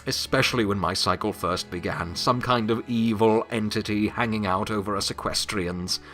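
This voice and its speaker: A man playing a weary, wry, remorseful pony who serves as Death, speaking in a reflective, halting, confiding monologue in an audio drama. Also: fairly low pitch, a bit bright, faint music behind, close to an everyday voice. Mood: gentle, wistful.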